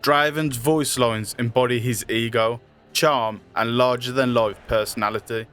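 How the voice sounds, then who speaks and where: A male video narrator with a mid-pitched voice delivers scripted trivia. Faint music plays behind him as he reads. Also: fairly even intonation, a little slow, fairly bright tone, clear, resonant tone.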